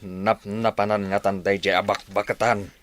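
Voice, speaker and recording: A man playing a stern, unforgiving father in an Ilocano radio drama, gruff and dismissive in manner. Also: fairly low pitch, flat delivery, normal speed, fairly bright tone, no room sound, lightly acted. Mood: grumbling.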